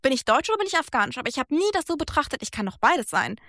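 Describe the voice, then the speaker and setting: A woman's voice: high voice, big pitch swings, racing, sharp tone, dry recording, clean studio sound. A woman born and raised in Germany, speaking earnestly and reflectively in a casual conversation. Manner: earnest, reflective, speaks in long thoughtful runs. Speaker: guest.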